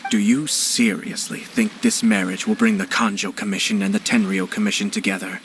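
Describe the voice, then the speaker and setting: A man playing a composed, cunning young nobleman in a video game, measured, courteous and cutting. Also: on the low side, flat delivery, a bit bright, slight background noise. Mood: calm, calculating.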